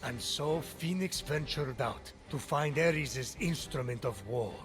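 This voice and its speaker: An actor playing a wise, patient storyteller of myths, narrating a video game in a measured, dry, solemn way. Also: male voice, a little high, music in the background.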